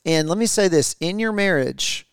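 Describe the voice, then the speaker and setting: Middle-aged guy on a podcast w his wife, gravelly but smooth voice, dry close sound. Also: high-pitched, animated, normal speed, dry recording. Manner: reflective, with frequent fillers and restarts. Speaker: podcast co-host. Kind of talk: casual conversation with spouse.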